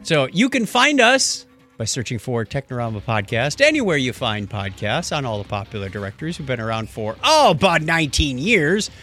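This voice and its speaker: A man co-hosting a podcast in casual chat, animated and joking, given to exclamations. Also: medium pitch, moderate pace, soft background music. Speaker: podcast co-host.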